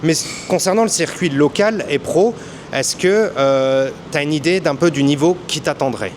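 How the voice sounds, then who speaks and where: Rambling French-speaking podcast interviewer. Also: male voice, on the high side, moderate pace, slight background noise. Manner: rambling questions with many fillers. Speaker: podcast host. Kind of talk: interviewer asking questions. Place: boxing gym.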